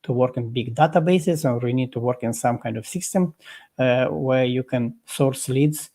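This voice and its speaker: Halting man explaining with many fillers. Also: medium pitch, mellow. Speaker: guest expert. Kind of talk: explaining in a live interview. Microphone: video call.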